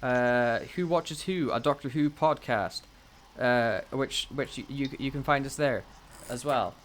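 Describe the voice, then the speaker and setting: A man's voice: mid-range voice, a little slow, a little hiss or hum. A man co-hosting a casual unscripted podcast, rambling and joking with mock confidence. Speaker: podcast co-host.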